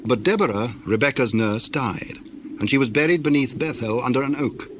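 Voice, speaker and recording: A man narrating scripture from a script in a formal, measured storytelling manner. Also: medium pitch, fairly bright tone, a little hiss or hum.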